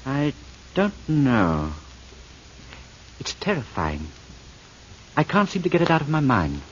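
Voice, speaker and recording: A man's voice: on the high side, wildly swooping pitch, slow, full character voice. An actor playing an uneasy, earnest editor who defers to his boss in a 1950s radio drama. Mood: uneasy, urgent. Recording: muffled, noisy 1950s radio recording.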